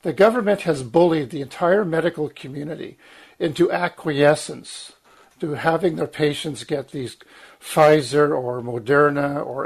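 A man in his sixties, croaky from medication, giving an earnest, emphatic talk with frequent ums, restarts and throat-clearing. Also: on the high side. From Lower Mainland, Canada. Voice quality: husky, clears throat often. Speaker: guest speaker, former journalist. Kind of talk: long spoken commentary, guest talk.

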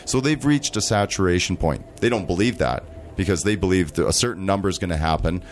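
Slow, deep-voiced man speaking deliberately. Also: lively intonation, slight background noise. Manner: slow, deliberate, with frequent restarts. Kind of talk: earnest conversational monologue.

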